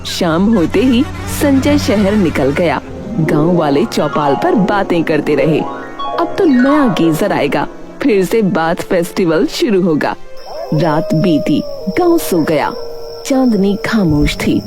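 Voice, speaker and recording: Storybook narrator, woman, slightly low register, animated melody, music bed underneath. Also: takes it slowly. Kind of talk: scripted storybook narration for a cartoon. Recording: music behind the voice.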